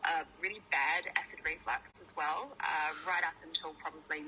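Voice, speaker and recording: A woman's voice: sits low, normal speed, pushed and bright, slight background noise, low-quality recording. A woman with a New Zealand accent, a company co-founder, chatting in an interview over a video call in a friendly, self-deprecating way with many fillers. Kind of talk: casual interview.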